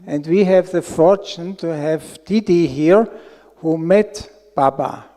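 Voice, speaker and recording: Slow, mellow Austrian man. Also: on the high side. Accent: Austrian German. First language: German. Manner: slow and careful, with fillers. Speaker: event host asking a question. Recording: echoey hall.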